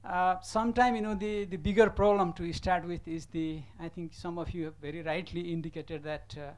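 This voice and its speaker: Halting man from Nepal speaking from the floor. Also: sits high, steady tone, a little mellow. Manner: halting, many fillers and restarts. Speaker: audience member asking a question. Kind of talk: conference floor comment.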